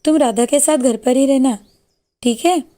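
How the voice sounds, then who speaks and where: A woman's voice: high-pitched, normal speed, mellow, close to an everyday voice. A woman voicing a caring, concerned village mother in a cartoon moral story. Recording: background music under the voice.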